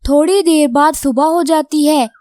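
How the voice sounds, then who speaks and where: A woman's voice: high voice, unhurried, dark tone, no room sound, clean studio sound. A woman reading scripted narration for a children's cartoon story.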